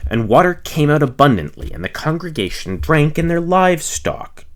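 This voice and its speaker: A man reading scripture aloud phrase by phrase, in a measured narrating manner with the occasional stumble. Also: on the high side, sing-song. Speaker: reader, narrator.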